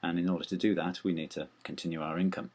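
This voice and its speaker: A man's voice: deep voice, flat delivery, fast talker, a little mellow. A man giving a chatty, self-deprecating appeal on behalf of a small theatre company. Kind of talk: informal spoken appeal.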